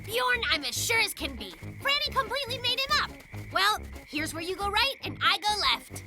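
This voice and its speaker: An actor voicing a boastful, excitable young boy bear cub in a children's cartoon, with a bragging, dramatic delivery. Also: very high-pitched, normal speed, full character voice. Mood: confident, impatient. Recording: music behind the voice.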